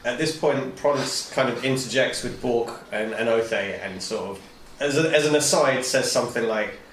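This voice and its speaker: A man's voice: medium pitch, normal speed, a bit bright. A man casually narrating his character's actions as a player in a tabletop game. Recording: roomy, distant from mic, light noise.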